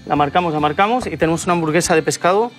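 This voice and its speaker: A man's voice: a little high, racing, soft background music. A man, hosting a cooking show and chatting enthusiastically as he cooks. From Spain. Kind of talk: cooking demonstration. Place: TV studio kitchen set.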